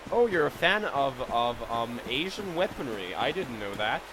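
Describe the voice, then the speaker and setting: A man's voice: medium pitch, very animated, normal speed, noisy background. A young man with a slight Serbian accent, chatting casually while streaming a game, thanking viewers and swearing at it. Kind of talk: casual live-stream commentary.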